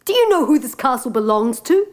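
A woman voicing a young prince who talks fast and nervously in casual slang. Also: extremely high pitch, sing-song, pitched above the performer's own voice. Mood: startled.

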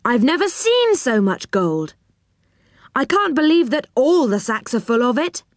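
A woman's voice: sits high, big pitch swings, a little slow, no echo, clean studio sound, pitched above the performer's own voice. A woman voicing an adventurous storybook boy who leads his friends with wondering exclamations. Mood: amazed.

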